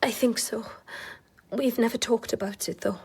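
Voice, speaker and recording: A woman's voice: fairly high pitch, fairly even intonation, moderate pace, darkish tone, slightly rough. A woman acting in a radio drama as a troubled, lonely young wife, confiding and vulnerable. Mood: confiding, uneasy.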